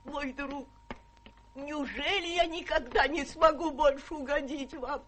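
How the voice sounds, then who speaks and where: A woman's voice: sits high, unhurried, a bit bright, slight background noise. An actress in a Russian radio play playing a devoted, fussy middle-aged lady companion, plaintive and fawning. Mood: fawning, anxious. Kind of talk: Russian theatrical radio drama acting.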